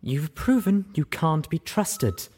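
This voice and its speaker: A man's voice: sits high, expressive, normal speed, warm tone, slightly rough, voice pushed up. A man voicing a stern but caring adult female pony who scolds in a clipped, cross way. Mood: demanding.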